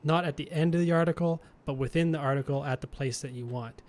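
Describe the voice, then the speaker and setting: Canadian man in relaxed podcast chat. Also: on the high side, fast talker. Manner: relaxed, thinks aloud with restarts, chuckles. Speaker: podcast co-host.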